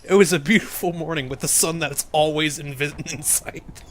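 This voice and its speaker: A man's voice: on the high side, moderate pace. A young man in casual joking banter, interjecting with sarcastic commentary.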